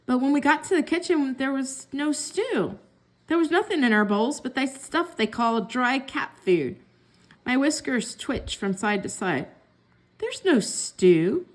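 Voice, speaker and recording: Female storyteller for a kids' read-aloud, higher-pitched, expressive delivery. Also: measured pace. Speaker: story reader.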